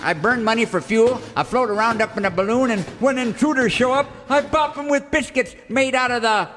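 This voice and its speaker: An actor playing a cranky, eager old cowboy cook sidekick in a western radio parody, folksy and stubborn. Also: male voice, very high-pitched, brisk, long reverb tail. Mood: pleading, stubborn.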